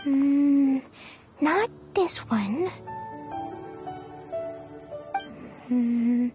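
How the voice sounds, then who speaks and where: A woman's voice: high-pitched, very slow. A woman playing an innocent, bubbly, curious little girl in an anime-style fantasy game, with a naive childlike manner. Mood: searching, eager. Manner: childlike, naive, wide-eyed. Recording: muffled game capture with music behind.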